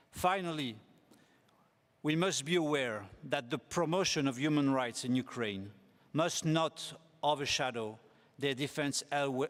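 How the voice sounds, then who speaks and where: A man delivers a formal speech, measured and deliberate, pausing on fillers. His voice sits a little high for a man. It carries into a large conference hall with a long, lingering reverb. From Belgium. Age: 40s. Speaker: parliamentarian candidate for rapporteur.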